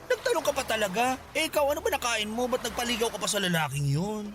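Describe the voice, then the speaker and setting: A man's voice: very high voice, big pitch swings, fast, background noise. A man playing a gossipy, teasing, campy friend in a radio drama, exclaiming in sassy slang. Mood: scandalized. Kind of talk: Tagalog radio drama acting.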